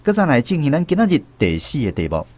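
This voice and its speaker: A man's voice: a little high, huge pitch swings, fast talker, darkish tone, faint music behind. A man with a Taiwanese accent hosting a radio language quiz, speaking to listeners and students.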